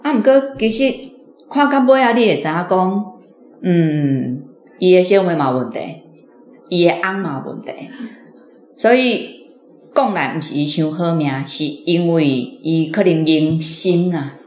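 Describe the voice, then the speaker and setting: A woman's voice: on the low side, lively intonation, unhurried, darkish tone. A woman with a Taiwanese accent, a reading club member, giving informal commentary on a story. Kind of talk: informal spoken book commentary. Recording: roomy sound, faint music.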